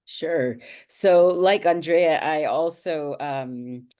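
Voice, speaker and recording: A woman's voice: deep voice, extremely slow, darkish tone. A woman born in Portugal and raised in Canada, a children's book author introducing herself on a panel, earnest and searching for words. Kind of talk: panel talk, personal introduction.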